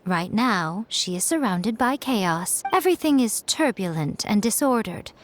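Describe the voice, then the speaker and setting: A sly fox-spirit priestess played by a woman, arch, teasing and completely sure of herself. Her mid-range voice glides through a lively, melodic range. It is game cutscene dialogue. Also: medium pitch, a little slow, a little hiss or hum, natural voice. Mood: explaining. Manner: arch, teasing and self-assured.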